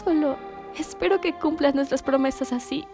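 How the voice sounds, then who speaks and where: A woman acts out an emotional young girlfriend in a cartoon drama. Her voice is high, youthful and affectionate, with music faintly behind it. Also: steady tone, normal speed. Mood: remorseful, pleading. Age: young adult. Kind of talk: acted dialogue for an animated story. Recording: voice over background music.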